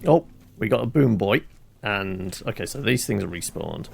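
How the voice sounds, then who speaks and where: A man's voice: fairly low pitch, animated, normal speed, vocal fry, slight background noise. A man giving casual gameplay commentary as a video host, muttering hesitantly with a wry touch. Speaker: gaming video host and player.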